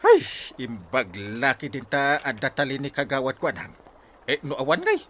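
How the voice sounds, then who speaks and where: A man acting a gruff, selfless village captain in a drama serial, speaking haltingly with many interjections. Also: mid-range voice, huge pitch swings, fairly bright tone, a little hiss or hum. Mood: tired, concerned.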